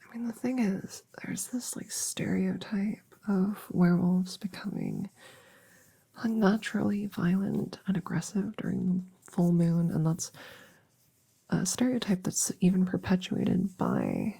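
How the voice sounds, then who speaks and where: A hushed, breathy witch vet, played by a woman, talks slowly and softly in an ASMR audio roleplay. Her low voice creaks as she gently reassures and teases, chatty and a little goofy, often slipping toward a whisper. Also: fairly even intonation, heavily acted. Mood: casual, explaining. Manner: gentle, chatty, halting, teasing.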